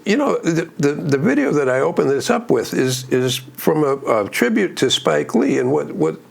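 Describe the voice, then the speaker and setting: A man with a gruff voice hosting a casual music breakdown, rambling with restarts and plenty of enthusiasm. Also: fairly high pitch, big pitch swings, moderate pace. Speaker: music channel host.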